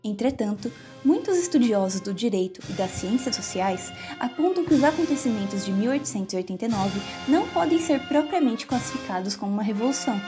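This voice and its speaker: A woman narrating an explanatory documentary in a formal, scripted way. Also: medium pitch. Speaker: narrator and street interviewer. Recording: voice-over with music behind.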